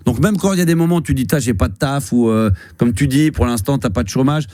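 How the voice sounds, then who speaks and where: A man's voice: mid-range voice, fast, dark tone, no room sound, clean studio sound. A man in his fifties hosting a radio call-in show, chatting casually and rambling with many fillers and restarts. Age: 50s. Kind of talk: casual radio chat with a caller. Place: radio studio.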